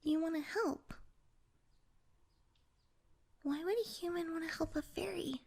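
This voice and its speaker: Fantasy ASMR roleplay role: little pixie played by a woman, extremely high voice, flustered and stammering, proud, wary of humans. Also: measured pace, gravelly, a little mellow, exaggerated voice. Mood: wary, bargaining.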